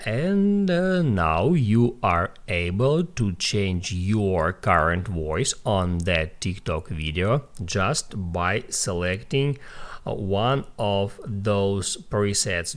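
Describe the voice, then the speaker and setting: Male tutorial host, low voice, very varied intonation, unhurried step-by-step delivery. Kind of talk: scripted how-to tutorial narration.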